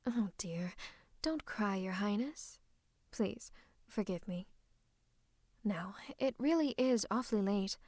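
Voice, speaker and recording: A woman playing a scheming royal advisor in a fantasy roleplay, speaking close to the listener in a coaxing, teasing, falsely comforting way with breathy asides. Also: medium pitch, lively intonation, takes it slowly, long pauses, a little mellow, dry recording. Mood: soothing, suspicious. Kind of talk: roleplay ASMR monologue to the listener. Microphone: close microphone.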